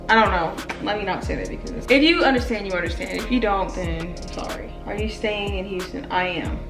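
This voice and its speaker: A woman's voice: on the low side, far from the mic. Chatty young woman in a roomy room. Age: 20s. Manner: chatty, blunt and playful, with slang and swearing. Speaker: YouTuber answering fan questions. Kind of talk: casual Q&A vlog. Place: indoor room near stairs. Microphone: camera mic, filmed from below.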